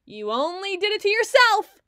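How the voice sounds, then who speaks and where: A woman acting a sardonic, fast-thinking, bossy secret agent in an ASMR roleplay audio drama, wordy and wry. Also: very high-pitched, sing-song, a bit bright, dry recording, full character voice. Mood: brash, mock outraged. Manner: wry, wordy, teasing, flustered when challenged.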